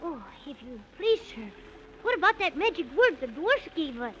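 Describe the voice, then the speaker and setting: A woman's voice: high voice, very animated, moderate pace, sharp tone, heavily acted. A woman playing an earnest, polite, wide-eyed little boy in an old-time radio children's serial. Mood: polite, anxious. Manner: polite, breathless wonder. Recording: muffled, noisy old radio broadcast recording.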